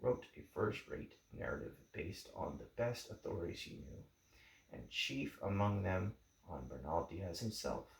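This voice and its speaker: A man reading a book introduction aloud in a deliberate, somewhat halting manner, as an amateur audiobook narrator. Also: deep voice, gravelly. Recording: roomy, echoing home recording.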